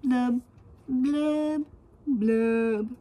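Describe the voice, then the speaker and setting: A woman's voice: on the high side, very slow, warm tone. A woman voicing a glum, gloomy male fish in a children's storybook, speaking in mopey, resigned singsong rhyme.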